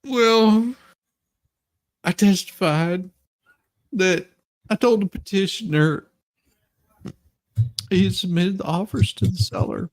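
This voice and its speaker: A woman's voice: lowish voice, slow pace, pauses often, a little mellow, voice pushed down, rough recording. A woman voicing a folksy, sassy Southern courtroom witness in a theatrical, stammering comedic reading. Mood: indignant.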